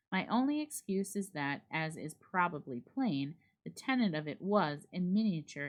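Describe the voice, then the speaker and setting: A woman giving a measured, expressive dramatic reading of a literary horror story. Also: fairly low pitch. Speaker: library staff reader.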